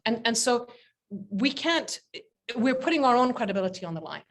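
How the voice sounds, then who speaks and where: A woman's voice: a little high, sing-song, moderate pace. A woman speaking emphatically and indignantly on a panel over a video call, repeating words for force. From Britain. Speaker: panel speaker, head of a peace-building organization. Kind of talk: impassioned panel talk. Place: indoor room with framed pictures, on a video call.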